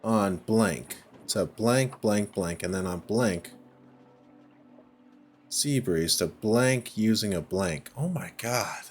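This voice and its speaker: A man giving casual gameplay commentary, thinking out loud in short, halting phrases. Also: lowish voice, lively intonation, slight background noise. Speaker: let's play host. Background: music.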